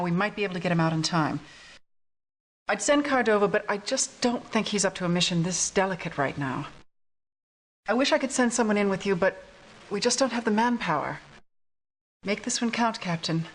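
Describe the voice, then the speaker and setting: An actress playing a grave, formal resistance commander briefing a soldier in a video game cutscene. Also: female voice, mid-range voice, animated, brisk, pauses often, lightly acted. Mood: urgent, solemn. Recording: clearly noisy game cutscene audio.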